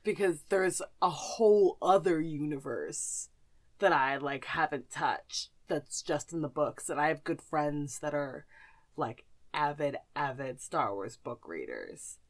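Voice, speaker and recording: A woman's voice: low voice, expressive, unhurried. A young woman co-hosting a podcast in casual conversation, speaking emphatically and opinionatedly with casual swearing. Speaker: podcast co-host.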